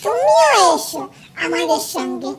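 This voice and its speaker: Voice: extremely high pitch, very animated, a little slow, full character voice. A performer voicing cheerful, playful magical vegetables with a singsong manner in a children's cartoon. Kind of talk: children's cartoon character voices.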